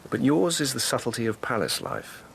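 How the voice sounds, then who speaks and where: A man playing a probing, grave investigating lieutenant at an imperial court in a radio drama, speaking in a measured, serious way. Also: medium pitch, wildly swooping pitch, creaky, a little hiss or hum, low-quality recording. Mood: grave, cautioning. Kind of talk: 2000s radio drama acting.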